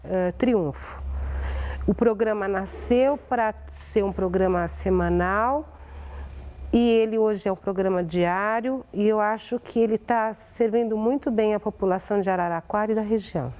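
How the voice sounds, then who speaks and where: A woman's voice: medium pitch, measured pace, a little mellow. A woman with a Brazilian accent giving spontaneous interview testimony.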